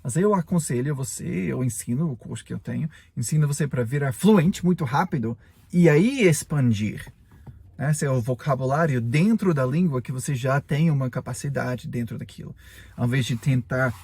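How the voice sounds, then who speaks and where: A man's voice: medium pitch, normal speed, a little mellow. A native English-speaking man in his forties, an English teacher giving a chatty podcast lesson in Portuguese, often tagging his sentences with little check-ins. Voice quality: gravelly, husky.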